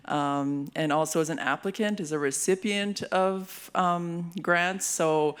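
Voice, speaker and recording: A woman's voice: fairly low pitch, takes it slowly. The Canadian woman emceeing a local arts awards night, chatting to the room in a playful, giggly, casual way. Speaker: master of ceremonies at an awards show; hip hop artist, rapper. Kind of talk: casual stage banter.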